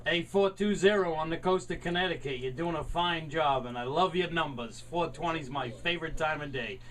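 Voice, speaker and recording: A high-pitched American man chats as a CB radio operator, repeating call signs and friendly sign-offs. He sounds set back from the microphone, with clear radio noise around him. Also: a little fast, fairly bright tone. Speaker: CB radio operator and video maker. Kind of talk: CB radio contact chatter with call numbers. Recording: noisy, distant mic with radio static.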